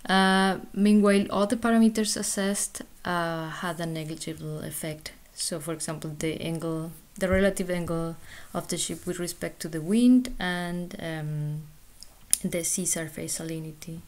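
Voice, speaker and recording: Low-pitched woman giving a scientific talk. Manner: hesitant delivery with frequent pauses and ums. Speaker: research scientist presenting. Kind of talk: scientific conference presentation, explanatory.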